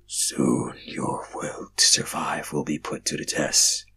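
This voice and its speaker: A man voicing a big shadowy dream entity in a storybook reading, ominous and cryptic, with a deep, breathy half-whisper and slow, portentous delivery. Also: sharp tone, full character voice.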